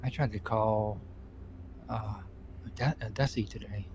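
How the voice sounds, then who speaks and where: A man's voice: lowish voice, a little slow, background noise, close to an everyday voice. A man playing an anxious, hesitant criminal defendant in improvised game roleplay, stammering and repeating his words. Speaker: roleplay streamer playing a character.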